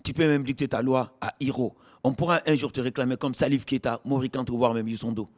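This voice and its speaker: A man's voice: medium pitch, steady tone, a little fast. A man with a Guinean accent hosting a TV music show, interviewing an artist and addressing viewers in declamatory phrases. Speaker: TV show host. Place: at the artist's home.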